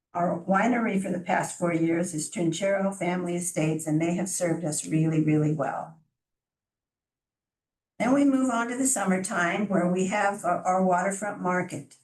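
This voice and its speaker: A soft-voiced woman presenting to a city council as a chamber of commerce director, friendly and informal, with small restarts. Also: fairly low pitch. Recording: echoey council chamber from far off.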